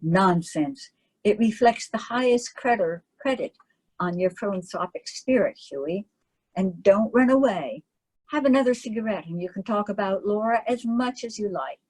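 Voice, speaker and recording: Jovial, teasing gentleman, a woman reading him aloud, breathy storybook voice. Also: mid-range voice, animated, normal speed, rough recording. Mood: reassuring. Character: amused, worldly young gentleman painter.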